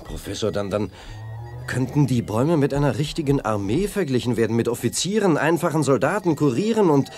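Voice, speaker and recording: A man's voice: mid-range voice, big pitch swings, brisk, a little mellow, faint music behind, natural voice. An actor playing an earnest young male space hero in a science-fiction audio drama. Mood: amazed.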